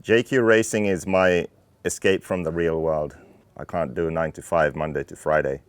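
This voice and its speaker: A man in his thirties from Finland, a racing team owner calmly explaining his car in technical detail. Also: low voice, moderate pace, clean tone, dry recording. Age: 30s. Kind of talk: interview talk about his car.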